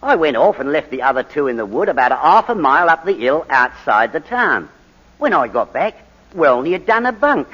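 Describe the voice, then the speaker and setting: An actor playing a blunt, slangy ex-soldier on the witness stand in a 1940s radio drama. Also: male voice, a little high, big pitch swings, a little fast, fairly bright tone, husky, exaggerated voice. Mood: matter-of-fact. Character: blunt, shifty English ex-soldier testifying in court. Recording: muffled old 1940s radio recording.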